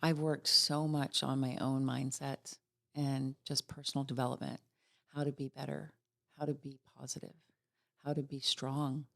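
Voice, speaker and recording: Middle-aged woman, podcast guest, positive and sincere, light gravel in the voice. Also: a bass voice, little pitch movement, moderate pace. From Virginia. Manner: warm, upbeat, heartfelt. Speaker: financial executive guest. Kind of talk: casual interview chat.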